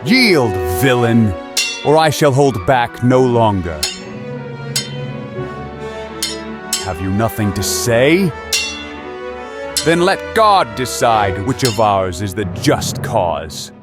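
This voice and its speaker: An actor playing a valiant, courteous Arthurian knight in an audio drama, speaking in solemn, archaic, resolute phrases. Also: male voice, mid-range voice, animated, slow. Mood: stern challenge. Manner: archaic, solemn, declamatory speech. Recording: orchestral music behind the voice. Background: orchestral score, nature sounds.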